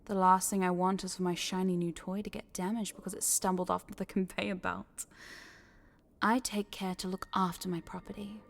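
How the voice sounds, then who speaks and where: A woman's voice: on the low side, flat delivery, normal speed, creaky. A woman playing a smug, possessive corporate boss in a scripted audio roleplay, teasing and condescending, sometimes dropping into a whisper. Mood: pleased, possessive.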